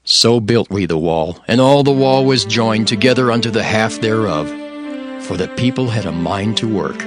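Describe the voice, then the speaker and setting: A man narrating scripture for an audio drama in a solemn, formal and measured way. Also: lowish voice, expressive. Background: music.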